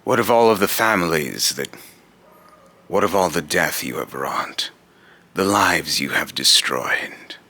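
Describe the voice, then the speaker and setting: Grave, condescending god of vengeance, played by a man: extremely low, forceful voice, solemn and accusing delivery. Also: animated, full character voice. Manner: slow, solemn, deliberate, taunting rhetorical questioning. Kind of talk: amateur voice-acting monologue with retakes.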